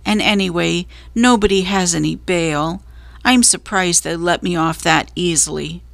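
A woman plays a storybook cricket who is honest and resigned. He speaks slowly and plainly, with an earnest, dutiful manner and a close, dry sound. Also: fairly low pitch, vocal fry, a bit bright, no echo.

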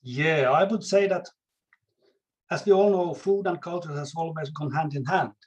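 Soft-spoken Finland-Swedish man. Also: fairly high pitch. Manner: measured, careful, searching for words. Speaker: chef and guest. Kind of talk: podcast interview.

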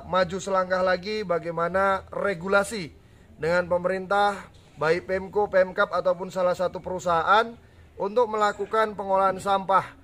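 Earnest young man pitching his farm. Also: fairly bright tone. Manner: earnest, persuasive, inviting. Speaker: farm owner giving a promo talk. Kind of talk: casual promotional vlog talk. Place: outdoors by a garden fence.

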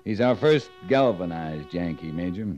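A muffled old radio western. a level-headed cavalry captain with a deep, mellow voice. He speaks in a measured, plainspoken way. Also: lively intonation, faint music behind. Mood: matter-of-fact.

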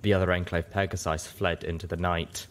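A man's voice: deep voice, little pitch movement, brisk. A man reading a first-person story aloud as scripted narration. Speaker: audiobook reader, show host.

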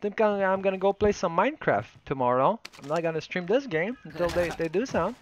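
A man in his thirties with Polish as his first language, talking through a live shooter game over a headset in a home room. His voice sits a little high, and his talk swings from relaxed chatter to loud calling-out of cheaters. A faint noise runs under the voice. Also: fast talker, dull audio. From Poland. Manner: switches between French and English, excitable when calling out cheaters. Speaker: streamer. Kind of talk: casual gaming commentary.

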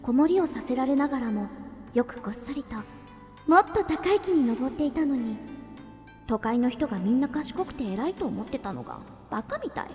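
High-voiced plucky young country maid. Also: female voice, expressive, moderate pace, a little mellow, faint music behind. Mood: bold, confident. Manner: polite, confident, girlish. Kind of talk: radio drama acting.

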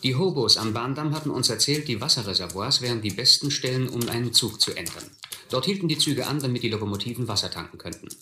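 A storyteller looking back on his youth in a radio drama. He speaks in measured literary phrases with a very bright, forward voice. The sound is roomy with faint noise. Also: medium pitch, lively intonation. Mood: reflective. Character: reflective man recalling his youth as a runaway. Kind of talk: first-person scripted narration in German radio play.

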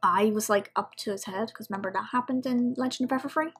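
A woman's voice: a little high, fast, fairly bright tone, breathy edge, faint music behind. A woman giving casual reaction commentary as a video host, in an offhand, rambling way.

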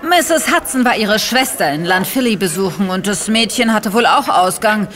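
Lady playing a chatty village landlady in an audio drama, sympathetic gossip, very lively intonation. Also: medium pitch, normal speed, natural voice. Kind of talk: German audio drama dialogue.

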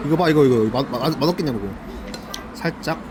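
A man's voice: on the high side, fairly quick, noisy background. A man hosting a casual food vlog, eating in a restaurant and exclaiming over the dishes. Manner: excitable, exclaims often. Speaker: food vlogger host. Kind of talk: casual mukbang chat. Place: busy restaurant. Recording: loud background music.

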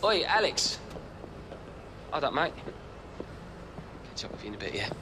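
A man's voice: medium pitch, very animated, normal speed, close to an everyday voice. A young man with an English accent playing a cocky, prickly star footballer in a game cutscene. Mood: awkward. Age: young adult. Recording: noisy outdoor street ambience.

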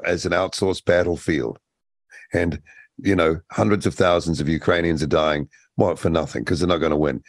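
Deep-voiced man asking pointed questions. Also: no echo, studio quality. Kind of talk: political panel discussion.

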